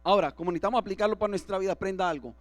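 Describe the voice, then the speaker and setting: A man's voice: high voice, very fast, no room sound, soft background music. A man preaching as pastor to his congregation, often asking them for agreement. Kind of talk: sermon. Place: church.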